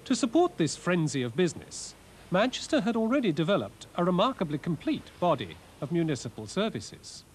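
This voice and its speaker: A man giving scripted, scholarly documentary narration in a measured way, with wry asides. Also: sits high, very animated, a little hiss or hum. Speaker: presenter, art and architecture lecturer. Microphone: voice-over narration.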